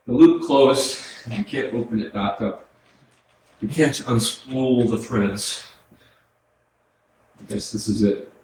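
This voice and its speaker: A man's voice: fairly low pitch, darkish tone. A man in a staged play reading as the ghost of a brother who died young, speaking earnest, poetic monologue in a grieving, tender way. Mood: sorrowful, scared. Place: small arts centre stage, recorded from a distance. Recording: echoey theatre hall, distant mic, faint noise.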